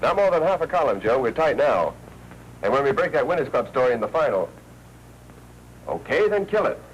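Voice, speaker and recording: Man acting as bossy american 40s editor, firm n brisk, swingy mid voice, some hiss. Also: mid-range voice, very animated, lightly acted. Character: firm, brisk, fearless newspaper editor, commanding. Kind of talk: 1940s crime film acting. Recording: noisy 1940s film soundtrack.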